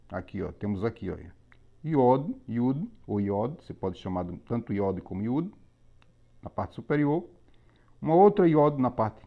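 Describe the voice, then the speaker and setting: A man teaching a religious lesson on Hebrew letters, explaining methodically with pauses and repetition. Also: mid-range voice. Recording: slightly dull lo-fi recording.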